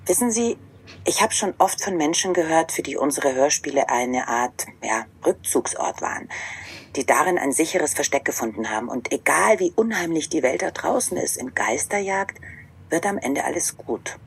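A calm middle aged radio play maker lady, really deep raspy voice, measured interview talk. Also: full character voice. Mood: reflective. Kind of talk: interview conversation in audio drama.

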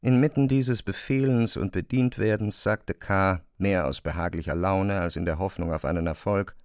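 A man with a low voice reads literary prose as narrator. The sound is close and very dry, like a studio recording with no room around it. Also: fairly even intonation, moderate pace, darkish tone, smooth, no room sound. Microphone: close, dry studio microphone.

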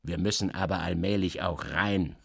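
A man's voice: sits low, moderate pace, vocal fry, slightly rough. A man reading first-person literary narration in a dramatic, wry interior-monologue manner.

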